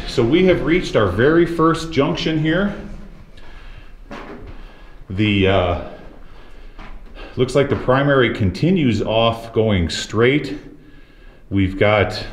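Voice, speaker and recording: Guy exploring an old mine, slow easygoing talk, echoey tunnel sound. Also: medium pitch. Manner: slow, easygoing, talks to viewers and his companion. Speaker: mine explorer and channel host. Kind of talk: walking-tour vlog narration. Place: large abandoned underground mine. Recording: echoey underground tunnel, faint noise.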